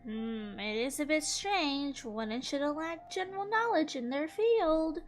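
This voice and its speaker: A woman's voice: high voice, takes it slowly. A woman giving casual, slangy gameplay commentary and talking back to the game. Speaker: gaming streamer. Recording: game music behind voice. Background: video game music.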